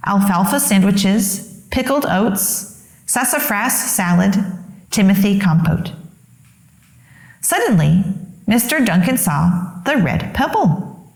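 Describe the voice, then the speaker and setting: A woman reading a children's picture book aloud as a storyteller, with deliberate, expressive phrasing. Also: mid-range voice. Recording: echoey room.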